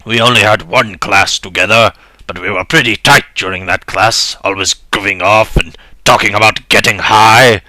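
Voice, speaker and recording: A man's voice: fairly low pitch, fairly even intonation, moderate pace, pushed and bright, no echo. A young man giving a dramatic first-person reading of a story in a mock-serious, earnest manner.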